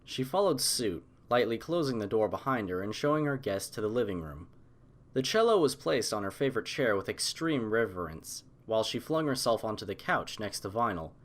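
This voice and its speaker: Expressive man narrating a story. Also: on the low side, a little fast.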